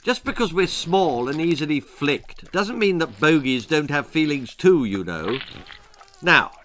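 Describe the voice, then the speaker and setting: A man voicing a gruff, aggrieved green bogey monster with a growling, slurping voice in a children's comic horror story. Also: on the high side, sing-song, unhurried, a little hiss or hum. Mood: angry. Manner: indignant, loud, menacing.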